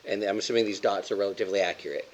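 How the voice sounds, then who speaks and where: A man's voice: fairly low pitch, steady tone, racing. A man playing a tabletop game, casually and tentatively thinking aloud as he talks with the group. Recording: muffled home recording with faint hiss.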